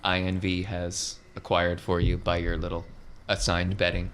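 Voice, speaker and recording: A man's voice: low voice, monotone, normal speed, a bit bright, a little hiss or hum. A man talking casually as the game master of a tabletop roleplaying session, describing scenes to the players.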